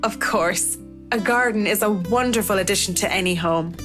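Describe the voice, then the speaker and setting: A woman's voice: mid-range voice, moderate pace, music in the background, lightly acted. A woman voicing a tactful, businesslike female visitor in a visual novel, speaking politely. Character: professional, tactful female visitor surveying a mansion. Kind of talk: acted reading of visual novel dialogue.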